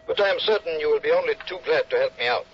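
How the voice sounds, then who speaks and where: A man playing a smooth, insinuating blackmailer. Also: on the high side, animated, racing, natural voice. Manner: insinuating, mockingly polite. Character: smooth, scheming male blackmailer. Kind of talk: 1960s radio drama acting. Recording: dull old radio broadcast with faint music.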